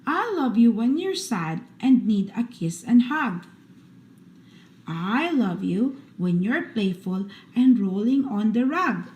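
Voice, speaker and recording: High, bright-voiced woman reading slowly to children. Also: big pitch swings. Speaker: host reading children's books aloud. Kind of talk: read-aloud bedtime storytelling for children. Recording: roomy echo, faint background noise.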